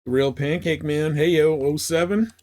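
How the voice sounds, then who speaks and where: A man's voice: a little high, fairly even intonation, unhurried, a little mellow. A man chatting casually and thinking aloud in a rambling, laid-back way as he live-streams a game. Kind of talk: casual live stream chat over gameplay. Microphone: headset.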